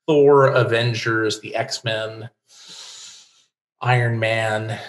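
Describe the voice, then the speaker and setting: A man's voice: fairly low pitch, steady tone, drawn-out words. A middle-aged American man explaining things as a guest in a casual interview, talking in a discursive, informative way. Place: home room lined with bookshelves. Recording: roomy home video call.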